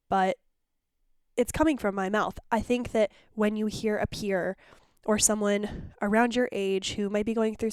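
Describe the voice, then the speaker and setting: A woman's voice: mid-range voice, clean tone, no room sound, studio quality. A woman hosting her podcast in a casual, chatty solo monologue, sounding congested and phlegmy. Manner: chatty and candid, with restarts. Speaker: podcast host.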